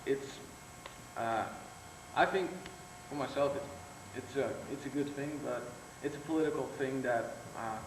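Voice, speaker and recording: A teenage boy from the Netherlands introducing himself in halting speech, pausing on fillers. He is heard from some distance in an echoey room with a steady noisy background. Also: medium pitch. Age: teens. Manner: hesitant, many fillers and restarts. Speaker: exchange student. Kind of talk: short self-introduction speech.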